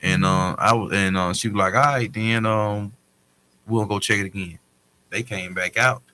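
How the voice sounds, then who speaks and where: A man's voice: on the low side, steady tone, moderate pace, pushed and bright, slight background noise. A man telling personal stories in a casual panel talk, blunt, slangy and profane. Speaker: panel guest.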